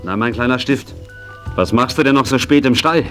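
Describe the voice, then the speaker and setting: An actor playing a kindly, wistful riding teacher in a children's audio drama. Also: male voice, mid-range voice, expressive, moderate pace, faint music behind, close to an everyday voice. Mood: gentle.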